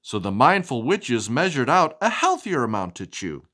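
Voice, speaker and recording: A woman reading a storybook aloud to a young child in an expressive, sing-song way. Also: very deep voice, normal speed. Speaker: storybook reader.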